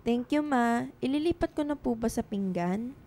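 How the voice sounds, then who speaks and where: Polite, high-voiced Filipina girl. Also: animated, moderate pace, warm tone. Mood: helpful, polite. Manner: respectful, timid. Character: polite, obedient young daughter. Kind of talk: Tagalog radio drama acting.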